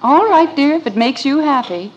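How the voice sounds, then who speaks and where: A woman playing a patient, reasonable middle-aged wife and mother in a 1950s radio comedy, gentle and measured. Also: high-pitched, animated, dull audio. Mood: gently reasoning. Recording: noisy, crackly old radio recording.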